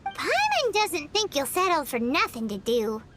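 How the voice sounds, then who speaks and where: A woman voicing a small, excitable, chirpy fairy companion who talks bossily in an anime-style video game. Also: sits high, a little slow, heavily acted. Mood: exasperated. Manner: chirpy, bossy, exasperated. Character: small excitable childlike floating fairy companion, female, talks in third person. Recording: studio voice under game music.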